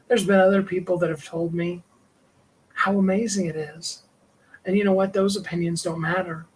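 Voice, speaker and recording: A middle-aged man with a higher tenor voice, hosting a livestream and talking in a rambling, heartfelt way with small laughs. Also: slight background noise, rough recording. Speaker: livestream host and singer. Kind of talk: reflective personal livestream talk.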